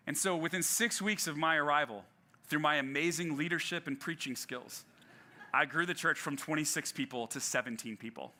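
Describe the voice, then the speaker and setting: A man preaching in a loose, conversational way, stumbling into restarts and dropping in self-deprecating jokes. His voice is very bright and ringing, and sits a bit higher than most men's. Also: normal speed. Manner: conversational, with frequent fillers and restarts. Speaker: pastor. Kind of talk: sermon.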